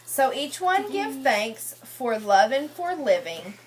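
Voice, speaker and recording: A woman's voice: sits high, big pitch swings, slow. A woman reading a picture book aloud as a teacher, prompting children with questions and checking they follow.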